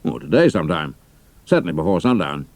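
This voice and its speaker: An actor playing a senior British army intelligence officer, measured and dry, with a British accent, in an audio drama. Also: male voice, extremely low pitch, big pitch swings, darkish tone, no echo, heavily acted. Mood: measured, matter-of-fact.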